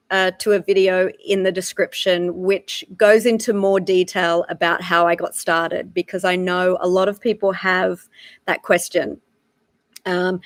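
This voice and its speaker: An Australian woman in her thirties talks slowly to her live-stream viewers in an easy, chatty way. Her voice sits a little low, and she fills her pauses with "uh" and "um". The sound is close and dry, with no room echo. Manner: frequent filler "uh" and "um", relaxed and chatty. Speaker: wildlife photographer giving a live lesson. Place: home in South Africa.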